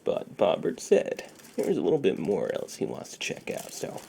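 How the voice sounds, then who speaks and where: A man speaks very low and slowly, half-whispering an ASMR roleplay right at the microphone. His voice has a gravelly fry, and he is soothing and reassuring, murmuring little repetitions. There is clear background noise in the recording. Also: huge pitch swings, dark tone. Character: friendly shady dealer treating a client's tingle immunity. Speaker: ASMR performer. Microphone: close sensitive microphone.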